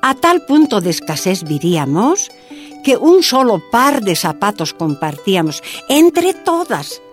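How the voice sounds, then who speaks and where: Una actriz que interpreta a la humilde esposa boliviana de un minero, dando su testimonio con seriedad en una radionovela. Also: female voice, medium pitch, sing-song, unhurried, soft background music. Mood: sombre. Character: Bolivian miner's wife, humble, plain-spoken, recalling hardship. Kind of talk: dramatized first-person testimony in a radio drama.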